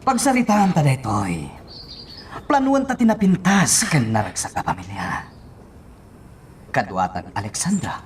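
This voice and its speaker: A man's voice: medium pitch, huge pitch swings, measured pace, pauses often, background noise. A man playing a manipulative crime boss in a melodramatic radio drama, menacing and moving between coaxing and threats. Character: menacing, manipulative male crime boss scheming against a woman.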